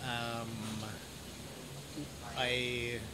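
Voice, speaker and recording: A man in his forties from Idaho with an American accent, chatting casually as an interviewer in a halting, rambling way. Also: mid-range voice, monotone. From Idaho, United States. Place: café or restaurant at night. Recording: clearly noisy room ambience.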